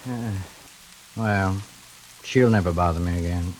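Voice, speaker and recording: A menacing, mock-polite intruder, hissy old radio. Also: male voice, low voice, poor audio. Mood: brooding menace. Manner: mock-polite, halting, with sudden contempt. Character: menacing, unhinged male fugitive intruder, softly threatening. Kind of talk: 1940s radio thriller acting. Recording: hissy vintage 1940s radio recording.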